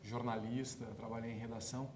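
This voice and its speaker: Man, Brazilian accent, halting, self-correcting, interview guest, echoey room, clear ambient noise. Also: medium pitch, flat delivery, darkish tone. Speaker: guest researcher, former journalist. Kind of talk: panel interview discussion. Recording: roomy echo, noisy ambience, distant timbre.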